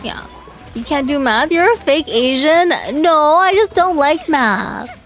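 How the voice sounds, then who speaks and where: A young woman streaming a game, chatting casually with her chat, swearing and yelling in excitement now and then. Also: sits high, unhurried, faint music behind. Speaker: VTuber streamer. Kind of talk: casual gaming livestream chat.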